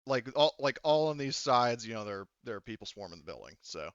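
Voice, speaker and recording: A man casually narrating a tabletop game as game master, halting and thinking aloud as he goes. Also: mid-range voice, sing-song, fairly bright tone, clear, resonant tone, no room sound, highs cut off.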